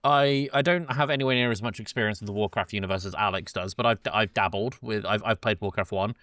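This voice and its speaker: A man's voice: fairly low pitch, fast talker, a bit bright, no echo, clean studio sound. A man hosting a podcast, chatting loosely and casually about film, correcting himself and swearing offhand. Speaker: podcast host.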